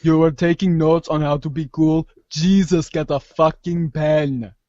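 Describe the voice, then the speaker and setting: A man's voice: fairly high pitch, steady tone, unhurried, a little mellow, dry recording, highs cut off, lightly acted. A man playing a cocky, slangy teenage boy with a mock Austrian action-hero accent in a comic reader's theater. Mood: smug. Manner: smug, dismissive, slangy.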